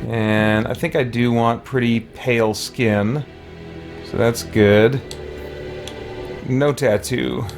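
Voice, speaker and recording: A man's voice: mid-range voice, steady tone, slow pace, long pauses. A man doing casual let's play commentary, thinking aloud in a tentative, musing way as he plays. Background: game music.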